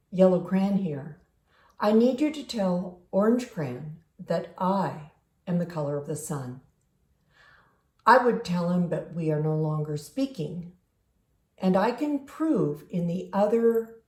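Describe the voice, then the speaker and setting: Stubborn, boastful picture-book crayon female reader, mellow tone, insistent emphasis, echoey room. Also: lowish voice. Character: proud, stubborn, squabbling yellow crayon. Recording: roomy, echoing home recording.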